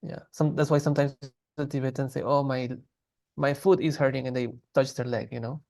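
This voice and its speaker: A man teaching a language class, explaining grammar patiently in a relaxed way with frequent pauses and restarts. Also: mid-range voice, creaky.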